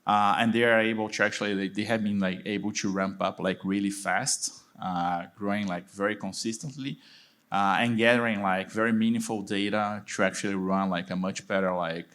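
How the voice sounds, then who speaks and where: A man's voice: on the low side, fairly even intonation, moderate pace, fairly bright tone. A Brazilian-accented man, a venture partner, introducing himself on a panel with many fillers and hesitations. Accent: Brazilian Portuguese.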